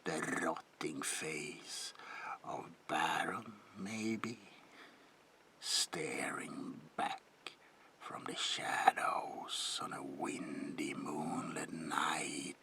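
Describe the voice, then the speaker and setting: An ancient vampire baron in a horror ASMR roleplay, whispering very slowly and theatrically. The voice is extremely low, with heavy gravelly fry, and his old-world politeness carries quiet menace. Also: steady tone, full character voice. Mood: ominous, musing. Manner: slow, theatrical, lingering whisper with archaic politeness. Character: creepy old male vampire baron, courteous, eerie, menacing.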